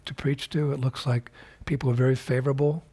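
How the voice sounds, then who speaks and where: An older man speaks in a husky, slightly breathy voice at an ordinary middle pitch. He talks slowly and reflectively, as a spiritual teacher in a recorded video message, often pausing and restarting his sentences. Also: little pitch movement, mellow. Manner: unhurried, reflective, with frequent fillers and restarts.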